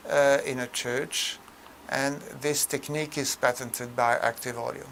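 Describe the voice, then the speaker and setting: A man presenting a technical tutorial in an explanatory way, with a halting, hesitant delivery full of mid-phrase pauses. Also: medium pitch, steady tone, creaky, a bit bright, a little hiss or hum.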